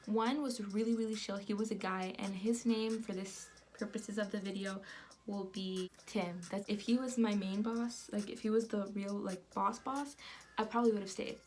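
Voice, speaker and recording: Animated young woman telling a story. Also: medium pitch, moderate pace. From United States. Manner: animated, expressive storytelling with many fillers. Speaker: vlogger. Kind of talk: storytime vlog.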